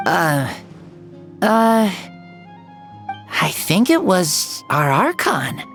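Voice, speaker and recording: A woman voicing an earnest, wide-eyed young child in an anime game, speaking hesitantly and haltingly. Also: fairly low pitch, sing-song, full character voice. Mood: eager, unsure. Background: background music.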